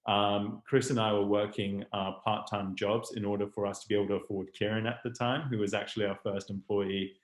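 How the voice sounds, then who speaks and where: Young guy with a mixed international accent, lowish voice, kinda flat, echoey room on a video call. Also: fairly quick, hardly any pauses. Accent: international English. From Hong Kong. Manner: hesitant, many ums and restarts. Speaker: guest speaker, startup founder. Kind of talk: webinar interview. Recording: roomy echo.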